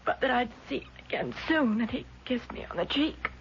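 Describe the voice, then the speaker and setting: A woman's voice: on the high side, lively intonation, a little fast. An actress in a vintage radio drama playing a distraught, frightened woman who pleads breathlessly to be believed. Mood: shaken, wondering. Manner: pleading, breathless, emotional repetition. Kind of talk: 1970s radio drama acting. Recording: dull, noisy vintage radio recording.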